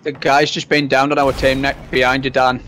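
Flat-voiced young gamer. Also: male voice, mid-range voice, brisk, slight background noise. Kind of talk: tactical callouts.

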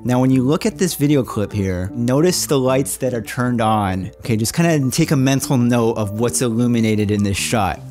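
Vlogger, male, 30s, mid-pitched, chatty and informal, soft music under, small apartment room. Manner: chatty, addresses viewers as "my friends". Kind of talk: casual weekly vlog chat. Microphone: handheld shotgun mic on boom cable.

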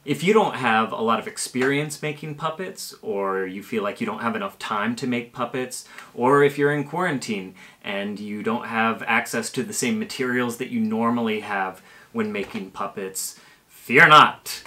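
A man in his thirties presents a how-to in a conversational way. His voice is medium in pitch, picked up a short distance away in a home kitchen. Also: normal speed, pushed and bright. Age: 30s. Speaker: puppetry presenter. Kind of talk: conversational instructional video.

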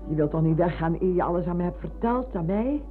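A woman's voice: fairly low pitch, lively intonation, quick pace, close to an everyday voice. An actress playing a talkative, insistent older former schoolmistress with a motherly way, in a radio drama. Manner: effusive, insistent, hesitating. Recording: muffled, hissy old radio recording.

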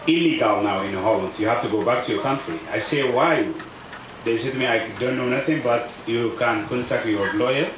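South Sudanese man in his thirties, halting non-native English, outdoor tent mic, echoey and distant with background noise; personal testimony. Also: mid-range voice. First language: a South Sudanese language. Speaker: refugee speaker. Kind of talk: personal testimony at a public event. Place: outdoors under a tent canopy at a camp. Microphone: table microphone on stand.

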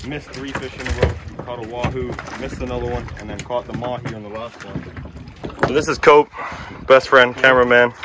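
A young man talking casually and excitedly while filming a fishing trip on a boat, swearing freely. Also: medium pitch, a little fast. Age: young adult. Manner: excited, swears casually, calls people bro. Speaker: fishing vlogger. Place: open boat at sea, outdoors. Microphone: handheld phone camera. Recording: loud wind and boat noise.

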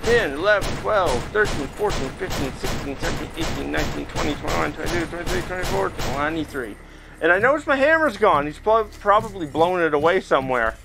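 A man with a fairly high voice gives casual commentary while actively playing a VR game. He chats away and thinks aloud like a host talking to his viewers, with music playing behind him. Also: expressive, fairly quick, vocal fry. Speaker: gaming video host. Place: indoors, playing in a VR headset in front of a camera.

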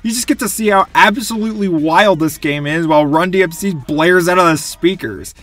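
A man's voice: high voice, normal speed. A young man giving enthusiastic, gushing scripted commentary as a video game reviewer. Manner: gushing, animated.

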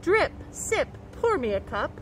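Very high-voiced woman reading to children. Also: measured pace. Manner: expressive, carefully enunciated picture-book delivery. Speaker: storybook reader and nature educator. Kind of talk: read-aloud storytelling for young children. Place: outdoors by a woodland creek. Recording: outdoor background noise.

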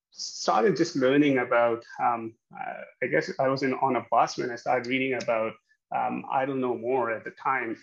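Man in his 30s, gravelly, halting speaker; dull lo-fi video call sound. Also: mid-range voice. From Pakistan. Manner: hesitant, many fillers and restarts. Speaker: guest speaker, accounting educator. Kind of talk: webinar presentation. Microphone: video call webcam.

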